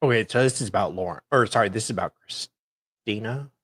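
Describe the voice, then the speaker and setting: A man's voice: on the low side, brisk, fairly bright tone, husky, no room sound, clean studio sound. A man co-hosting a casual commentary, adding offhand, speculative asides. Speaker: co-host.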